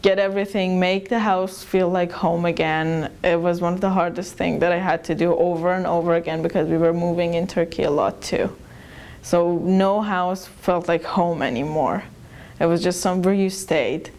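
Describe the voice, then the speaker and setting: A young woman from Iran recounts her experiences in a small plain room, recorded close on a lapel mic. Her voice sits low and mellow, and she speaks thoughtfully with occasional restarts. The tone stays calm and reflective. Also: moderate pace. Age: young adult. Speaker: interviewee. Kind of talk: personal account.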